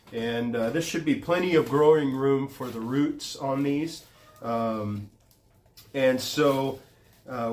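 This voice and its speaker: Gardening vlog host, man, congested from a cold, loose and chatty with lots of fillers, a bit higher voice. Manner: rambling, many ums and uhs. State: stuffy from a cold. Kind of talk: casual how-to vlog. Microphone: phone camera on a tripod.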